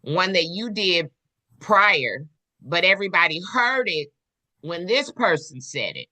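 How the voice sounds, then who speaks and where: Woman panelist, slow deliberate pace, low pitch, bright tone, measured storyteller. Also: lively intonation. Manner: measured, poses questions and tells stories from work. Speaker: podcast panelist. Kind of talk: casual discussion.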